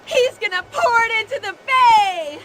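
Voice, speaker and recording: A devoted young hippie follower in a game. Her voice is extremely high and bright, full of gushing fervor. There is faint noise behind her. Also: animated, measured pace, exaggerated voice. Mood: fervent, excited. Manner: gushing, fervent. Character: excitable, devoted young female hippie cultist. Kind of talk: video game cutscene acting.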